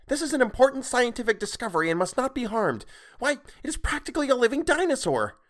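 A man voicing a bold, indignant young girl in a storybook, shrill and clear, with precise, bossy speech. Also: very animated, fast talker. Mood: commanding. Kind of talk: audiobook character acting.

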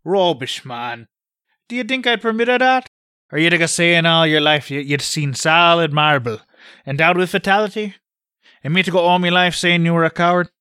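Casting: hearty, scolding Irish country doctor, bright forceful delivery, raised pitch, for an audiobook. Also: male voice, normal speed, clear, resonant tone, dry recording, studio quality. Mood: scornful, insistent. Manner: emphatic, scolding, forthright. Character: bluff, hearty, mocking raw-boned Irish country doctor. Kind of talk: audiobook character voice.

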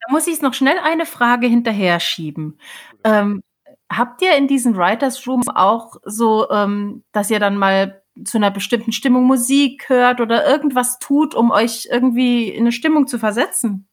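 A woman's voice: fairly high pitch, moderate pace. A woman co-hosting a casual podcast chat, speaking expressively and with enthusiasm. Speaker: podcast co-host and author.

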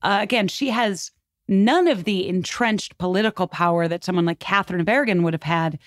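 A woman's voice: lowish voice, a little fast, no room sound, studio quality. A woman guest talking at length in an emphatic, rambling, conversational way, as if telling a story from history. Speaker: podcast guest.

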